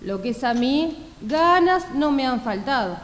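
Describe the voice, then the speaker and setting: A woman's voice: sits high, expressive, unhurried. A woman playing a restless, apologetic female office clerk full of longing in a radio play. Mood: wistful. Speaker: amateur radio theatre actor. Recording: echoey hall, faint noise, clicks.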